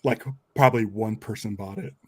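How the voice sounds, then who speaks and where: A man's voice: lowish voice, a little fast, darkish tone, slightly rough, no room sound. A man hosting a podcast in casual, conversational talk. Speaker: podcast host. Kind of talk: casual chat.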